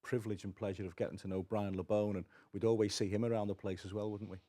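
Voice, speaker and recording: A male host talks quickly in a low, mellow voice. He presents and puts questions in a casual, conversational way, as on a club sports show. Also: level tone.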